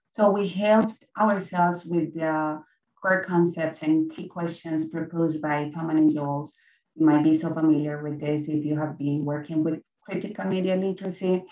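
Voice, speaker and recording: A woman's voice: low voice, darkish tone. A young adult woman, giving a conference presentation as a teacher, hesitant, with many fillers and restarts. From Colombia. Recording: roomy, distant, slightly dull sound.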